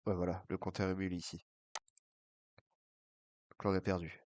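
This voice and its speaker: A man's voice: sits low, level tone, brisk, noisy background. A man with a cold and a blocked nose, casually talking through an online baccarat session and muttering to himself between bets. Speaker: online casino streamer.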